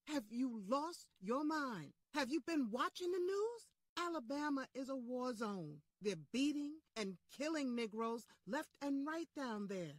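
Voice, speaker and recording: An actress as a loyal, worried friend who speaks bluntly in a radio drama. Her voice sits fairly high, emphatic and cautioning, recorded very dry. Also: very animated, a little slow, few pauses, no room sound, exaggerated voice. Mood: alarmed. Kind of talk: old-time radio style dramatic acting.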